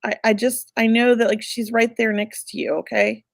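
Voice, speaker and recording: A woman hosting a live psychic reading, chatty and reassuring, going a bit hoarse as she loses her voice. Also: fairly high pitch, flat delivery, moderate pace, no room sound, clean studio sound. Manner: chatty, reassuring, many filler words. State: losing her voice. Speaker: psychic medium host.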